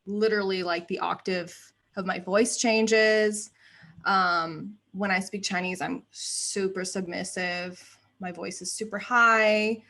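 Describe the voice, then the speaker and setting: A woman in her thirties, raised in the United States, chatting as a guest on an interview show, laughing often and filling pauses with "um" and "like". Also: mid-range voice, fairly even intonation, sharp tone. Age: 30s. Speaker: guest, actor and writer. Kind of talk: casual interview chat.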